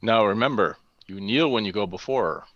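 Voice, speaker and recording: A man's voice: lowish voice, a little fast, a bit bright, no echo, muffled recording, close to an everyday voice. A man playing a stern, terse warrior mentor in a fantasy script read, speaking in a clipped, commanding, dry way. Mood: stern, instructing. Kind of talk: table read of a fantasy screenplay.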